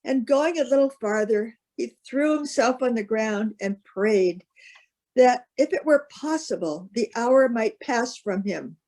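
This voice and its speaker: A woman reading scripture as narrator, in a measured, formal way. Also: fairly high pitch, animated, darkish tone, rough recording.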